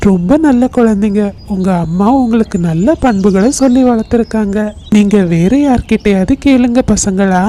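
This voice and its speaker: A woman voicing a calm, kindly male uncle bird in a children's cartoon. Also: medium pitch, normal speed, voice pushed down, natural voice. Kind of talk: children's cartoon story acting.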